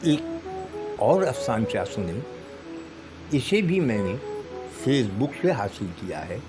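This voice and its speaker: A man reading short stories aloud as a storyteller, with measured, deliberate phrasing and pauses. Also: medium pitch, sing-song. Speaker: storyteller and channel host. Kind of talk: dramatic Urdu short story narration. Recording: music bed under the voice. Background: background music.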